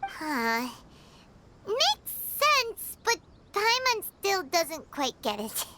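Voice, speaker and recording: A tiny, very high-pitched fairy sidekick from a video game. The squeaky, childlike voice swoops dramatically up and down, chirpy and quick to take offence, over noticeable background noise. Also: female voice, exaggerated voice. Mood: curious. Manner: refers to herself in third person, expressive. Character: small childlike floating fairy companion, chirpy and easily indignant.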